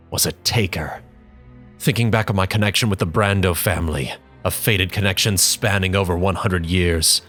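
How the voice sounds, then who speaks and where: A man performing a bitter young man who looks back on his mother with contempt, reading in a measured, brooding way with dramatic pauses. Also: low-pitched, a bit bright. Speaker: narrator in character.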